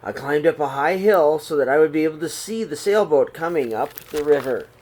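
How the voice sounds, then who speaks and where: A middle-aged man reading a children's picture book aloud in a small room, slow and expressive. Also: a little high. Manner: reads slowly and expressively, voices the characters' calls. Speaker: storybook reader. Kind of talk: read-aloud storytelling for children.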